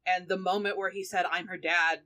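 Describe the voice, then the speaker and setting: A woman's voice: lowish voice, little pitch movement, a little fast, pushed and bright. A woman chatting casually as a member of a podcast cast. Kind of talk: casual chat.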